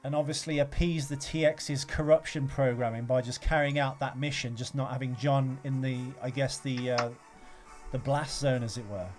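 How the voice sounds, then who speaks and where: A man's voice: mid-range voice, steady tone, normal speed, darkish tone. A man co-hosting a podcast, chatting casually about films. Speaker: podcast co-host. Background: background music.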